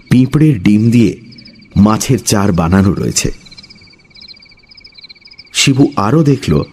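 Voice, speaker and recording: Slow, animated male horror storyteller. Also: fairly low pitch, a little hiss or hum. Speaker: storyteller, narrator. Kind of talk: dramatic scripted horror story narration.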